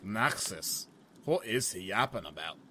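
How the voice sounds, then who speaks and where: A man playing a gruff, blunt, big brawler in a fantasy audio drama. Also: fairly low pitch, expressive, unhurried, pushed and bright, slight background noise.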